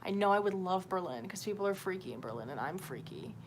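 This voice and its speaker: A woman's voice: lowish voice, expressive, fast talker. Candid, sweary woman streamer, noisy background. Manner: candid, sweary, self-deprecating. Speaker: livestream host. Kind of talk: casual rambling livestream chat.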